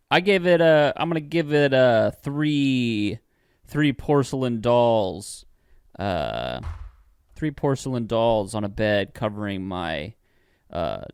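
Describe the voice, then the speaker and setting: Smooth, clear-voiced man, teasing. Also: on the low side, expressive, takes it slowly, long pauses, no echo. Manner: playful, teasing. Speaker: podcast co-host. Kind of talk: casual chat.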